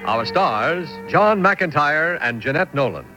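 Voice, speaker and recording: A man's voice: on the high side, animated, takes it slowly, music in the background, poor audio. A man making polished, emphatic scripted announcements as a radio programme announcer.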